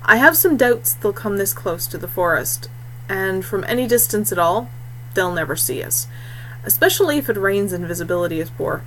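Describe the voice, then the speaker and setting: A woman voicing a quiet, self-possessed young male scout in an audiobook, understated and matter-of-fact. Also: medium pitch, lively intonation, normal speed, slight background noise, rough recording. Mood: calm, dry.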